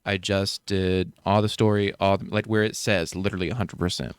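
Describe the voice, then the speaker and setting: Smooth low-voiced man chatting casually. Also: flat delivery, fairly quick, no room sound, studio quality. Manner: rambling, joking, lots of fillers. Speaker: podcast co-host. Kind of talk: casual chat.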